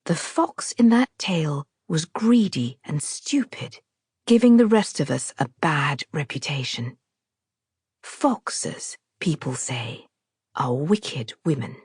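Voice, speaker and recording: A woman reading a novel aloud as a scripted literary narration, in a measured, deliberate, expressive storytelling manner. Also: low voice, breathy edge, no echo, clean studio sound. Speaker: author narrating her own audiobook.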